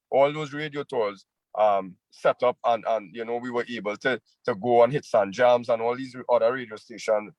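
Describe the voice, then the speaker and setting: An earnest man with a Trinidadian accent talks as a guest over a video call. His voice sits mid-pitch and sounds close and dry, and he often doubles back on his words. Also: a little mellow, dry recording, rough recording. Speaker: chutney soca singer, guest.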